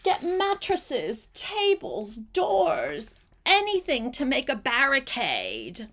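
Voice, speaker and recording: A woman reading a storybook and voicing excitable townsfolk and their mayor, exclaiming urgently. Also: high voice, slow, creaky, pitched above the performer's own voice, heavily acted. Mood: panicked.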